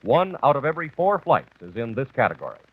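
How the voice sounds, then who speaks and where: A man's voice: medium pitch, very animated, quick pace, breathy edge. An American man reading scripted documentary narration on an old, muffled 1960s television soundtrack. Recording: muffled 1964 TV kinescope, narrow band.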